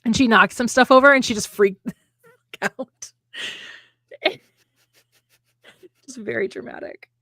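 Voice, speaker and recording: Casting: American woman, cheerful storyteller who laughs a lot, a touch high, close and dry mic. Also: slow pace, long pauses, a bit bright, no echo. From United States. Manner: chatty storyteller, laughs often. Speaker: podcast co-host, author. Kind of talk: casual chat between friends.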